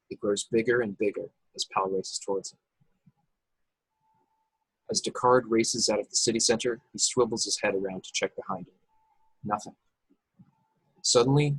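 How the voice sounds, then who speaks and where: Husky low-voiced man narrating an action script. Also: no echo, low-quality recording. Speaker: narrator reading stage directions. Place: indoors, remote video call table read.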